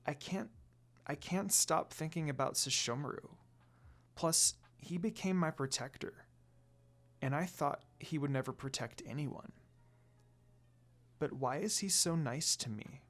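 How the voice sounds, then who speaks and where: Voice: mid-range voice, unhurried, long pauses, fairly bright tone. A narrator voicing a kind, outspoken young priestess who is earnest and easily flustered. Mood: wondering.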